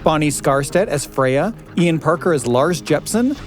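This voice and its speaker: A man's voice: fairly high pitch, lively intonation, measured pace. A man hosting a family story podcast, mixing scripted announcements with casual discussion. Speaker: podcast host. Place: dry studio. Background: light music.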